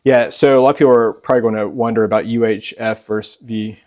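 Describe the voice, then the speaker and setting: A man's voice: on the low side, fairly even intonation, fast, a little mellow. A man hosting a casual interview, talking informally and often repeating or echoing short phrases. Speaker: host.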